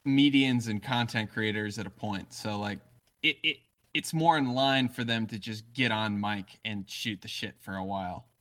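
Low, bright-toned, dry-witted man. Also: moderate pace. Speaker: podcast co-host. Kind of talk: casual podcast chat.

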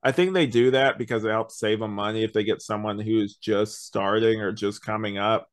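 A man's voice: fairly low pitch, fairly even intonation, moderate pace. A man co-hosting a podcast, chatting casually in a rambling, opinionated way and often quoting his own thoughts. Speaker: podcast co-host reviewing an audio drama. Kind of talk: casual chat.

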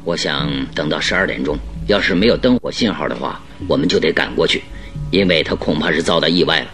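Deep-voiced, grave radio detective. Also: male voice, fast talker, a bit bright, faint music behind. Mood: concerned. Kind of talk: radio drama acting.

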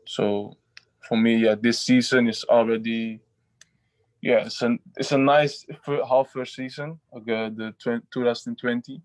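Halting footballer man, flat voice. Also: medium pitch, dry recording, rough recording. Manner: halting, restarts often, simple phrasing. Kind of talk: interview answers.

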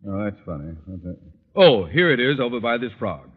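An actor playing an irritable, pompous middle-aged school principal in an old-time radio comedy, impatient and quick to bluster. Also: male voice, fairly low pitch, very animated. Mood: gruff, distracted. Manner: pompous, impatient, exploding into outrage. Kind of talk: 1940s-50s radio comedy acting. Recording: muffled, very noisy old radio recording.

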